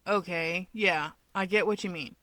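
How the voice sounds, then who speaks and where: A woman's voice: fairly low pitch, a little slow, pushed and bright, no room sound. Woman reading as snarky young guy in an audiobook, guarded, taunting, very dry close recording. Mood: grudging, disparaging. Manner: sardonic, dismissive. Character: taunting, disparaging, guarded young man with a grudge. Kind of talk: fan fiction audiobook dialogue.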